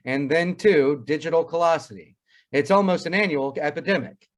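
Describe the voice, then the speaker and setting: Man playing a pompous radio-comedy doctor, higher-pitched. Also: moderate pace, close to an everyday voice. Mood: mock lament. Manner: pompous, wordy, drily sarcastic. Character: wry, pompous family doctor with crusty wit. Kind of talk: old-time radio comedy acting.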